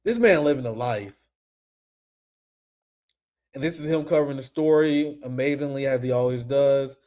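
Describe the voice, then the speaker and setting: A young man hosting a casual political commentary stream, animated and profane, laughing often. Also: mid-range voice, moderate pace.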